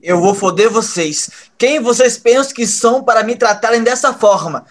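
An actor playing a resentful young male hacker in an audio drama, halting at times. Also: extremely high pitch, expressive. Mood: seething. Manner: halting, repeats words when pressed.

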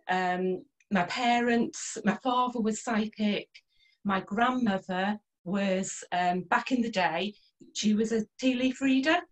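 A middle-aged woman speaks at a slow, unhurried pace with a medium pitch. She is a guest on a casual video-call interview, reminiscing about her own life from a room at home. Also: low-quality recording. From North Wales, born in Liverpool. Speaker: interview guest, holistic therapist. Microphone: computer microphone on a video call.

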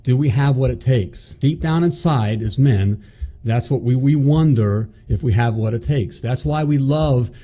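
A man's voice: mid-range voice, a little slow, warm tone. A middle-aged man hosting a motivational business show in an indoor studio, talking emphatically into a studio mic on a stand and often checking in with "okay?" and "you know". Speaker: host.

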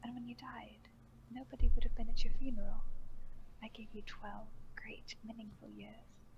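A woman voicing a calm, manipulative female seer in a horror story reading, in a whispery, soothing, slow hushed voice. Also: fairly high pitch, gravelly, background noise.

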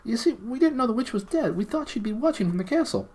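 A man voicing an excitable, nervous male gnome from underground, stammering as he talks. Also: very high-pitched, fast, voice pushed up, full character voice. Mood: pleading.

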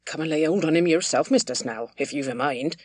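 A woman's voice: fairly low pitch, brisk, warm tone, no echo, lightly acted. A woman voicing a touchy male pub drinker in a storybook, grudging and defensive. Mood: sullen, muttering.

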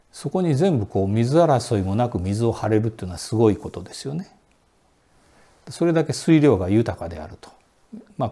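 Relaxed low-voiced man in his sixties. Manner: relaxed and explanatory, many fillers. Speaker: sake brewery director. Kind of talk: sit-down interview answers. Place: indoor Japanese room with sliding doors.

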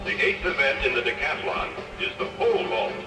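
A man's voice: mid-range voice, very animated, a little fast, natural voice. A man playing a formal athletics announcer in a cartoon read-along story. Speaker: event announcer. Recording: distant, echoey old record playback with music.

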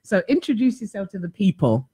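A woman hosting a talk show, chatting in an animated, self-mocking way from a home office, speaking into a desk microphone. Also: medium pitch, a little fast, husky. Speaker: host. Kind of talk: casual chat. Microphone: desk mic on boom arm.

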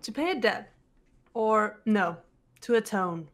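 A woman voicing a serious, guarded teenage girl detective in a visual novel game, cool and measured. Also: medium pitch, expressive. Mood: solemn, confessional.

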